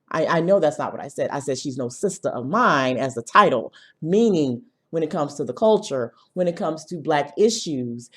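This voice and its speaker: A woman with a fairly low voice speaks as a video commentator giving her opinion casually. She is blunt and emphatic, driving points home with short tag questions. A cold leaves her slightly hoarse. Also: darkish tone.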